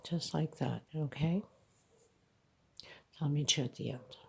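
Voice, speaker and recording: Slow, congested woman teaching crochet. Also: low voice, gravelly, darkish tone, husky. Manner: slow, counting stitches aloud with frequent okays. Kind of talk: hands-on tutorial narration.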